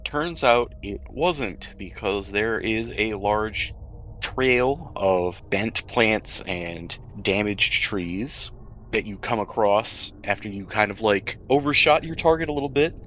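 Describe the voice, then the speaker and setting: Low-voiced man running a tabletop game. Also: slow pace, a bit bright, slight background noise. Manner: descriptive narration with casual asides and hesitations. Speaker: game master. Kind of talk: narrating a tabletop role-playing game.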